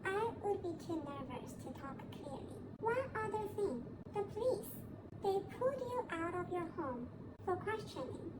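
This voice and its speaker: A woman's voice: extremely high pitch, sing-song, moderate pace, voice pushed up. A woman voicing a knowing, matter-of-fact young female accomplice in acted story dialogue. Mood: knowing, explaining. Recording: clearly noisy background.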